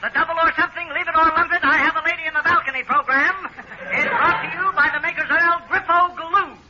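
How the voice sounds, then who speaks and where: High-pitched, flustered quiz host. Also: male voice, steady tone, quick pace. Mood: announcing. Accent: American. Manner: formal announcer delivery, exasperated politeness. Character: excitable, flustered male quiz show host. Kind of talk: 1940s radio comedy acting. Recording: dull, noisy old radio recording.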